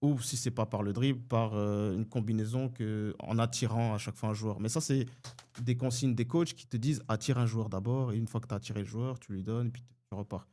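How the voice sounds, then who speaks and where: Man, from Côte d'Ivoire, mellow voice, reflective, casual football podcast. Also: on the low side, steady tone, brisk. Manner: reflective, builds explanations. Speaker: podcast co-host.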